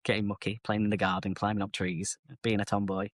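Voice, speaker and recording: Middle-aged brit guy opening up about his past, lowish voice, dry close sound, talks freely and corrects himself a lot. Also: little pitch movement, fast, no room sound. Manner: open, reflective, chatty, self-correcting. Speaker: guest. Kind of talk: candid personal storytelling.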